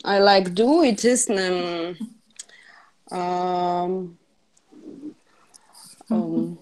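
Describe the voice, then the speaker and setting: A woman whose first language is Russian, speaking halting English in a conversation class, with many fillers and restarts. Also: on the low side, steady tone, darkish tone, low-quality recording. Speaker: English learner.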